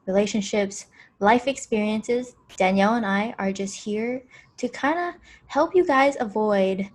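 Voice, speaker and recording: Young woman in her 20s cohosting a podcast, laid back and chatty, laughs a lot. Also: mid-range voice. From Hawaii. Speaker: podcast co-host. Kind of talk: casual chat.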